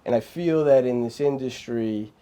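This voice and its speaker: Male guest, mellow low-bright voice, animated and rambling, lots of restarts. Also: normal speed, clear, resonant tone. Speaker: filmmaker guest. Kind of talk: casual interview chat.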